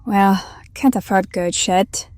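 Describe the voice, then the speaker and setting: A woman's voice: mid-range voice, slow pace, a little mellow, no room sound, lightly acted. An actress playing a teasing, sardonic, hard-drinking female hireling who coaxes her companion in an audio drama. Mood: coaxing.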